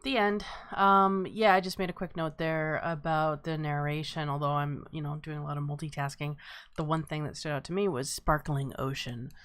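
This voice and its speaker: A woman's voice: deep voice, steady tone, moderate pace, a bit bright, smooth, no echo. A woman hosting an informal live script table read, moderating feedback from the readers.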